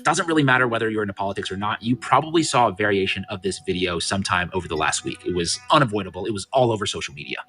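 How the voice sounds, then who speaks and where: A man's voice: lowish voice, lively intonation, rapid-fire, few pauses, pushed and bright. A man reading a scripted explainer in a pointed way, posing rhetorical questions to the listener. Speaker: video commentator. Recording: music bed under the voice. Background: music.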